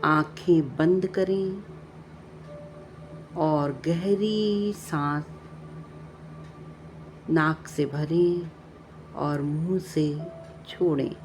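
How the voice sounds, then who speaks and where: A woman with a low voice leads a guided meditation. She speaks very slowly and calmly, leaving long pauses between measured instructions. Music plays behind her. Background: background music.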